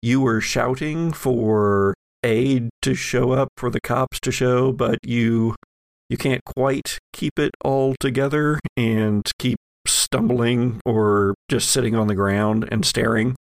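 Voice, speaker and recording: A man improvising tabletop game narration as game master, halting as he searches for his words. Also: medium pitch, steady tone, vocal fry, no room sound.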